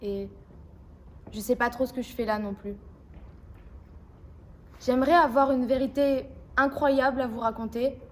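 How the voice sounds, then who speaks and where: Woman doing a stage monologue, highish voice, bit of background noise. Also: fairly even intonation, a little slow, pauses often. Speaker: drama student. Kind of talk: acted theatrical monologue. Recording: distant mic, background noise.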